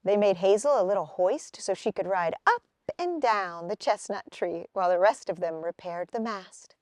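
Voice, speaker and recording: A woman tells a picture-book story for children. Her phrasing is expressive and deliberate, her pitch in the middle range. The recording is dry, with almost no room sound. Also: no room sound.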